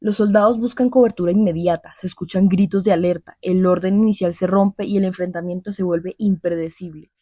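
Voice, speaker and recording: A woman playing a grave war correspondent in a scripted radio drama, reporting solemnly and in measured phrases. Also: fairly low pitch, fairly even intonation, mellow, no echo, close to an everyday voice. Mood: urgent. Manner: solemn, measured, descriptive delivery.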